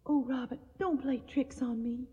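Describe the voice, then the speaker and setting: A woman's voice: high voice, steady tone, normal speed, warm tone, lightly acted. An actress playing a sad, yearning young Tennessee woman in a plaintive radio drama. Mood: wary, pleading. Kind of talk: 1980s radio drama acting with plaintive folk singing. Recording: dull audio, long cavernous reverb.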